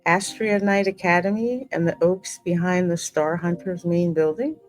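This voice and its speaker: A woman with a slightly low voice hosts a game playthrough. She reads story lines theatrically and drops into casual commentary, with soft music underneath. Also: steady tone, normal speed. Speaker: gaming channel host. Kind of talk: reading game dialogue aloud with casual reactions.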